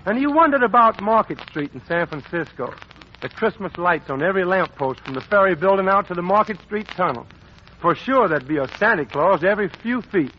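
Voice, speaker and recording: High, lively old-time radio announcer. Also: male voice, a little fast, a little hiss or hum. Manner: chummy, folksy and playful. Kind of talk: scripted storytelling narration. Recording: dull 1940s radio broadcast.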